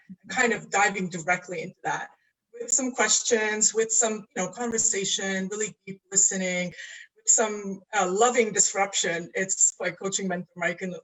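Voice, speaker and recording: A woman from Estonia speaks as an interview guest, earnest and unhurried, often pausing to find her words. She talks over a video call in a relaxed, conversational way. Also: mid-range voice, low-quality recording. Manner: earnest, searching for words, laughs easily. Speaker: astrologer and coach guest. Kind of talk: casual interview.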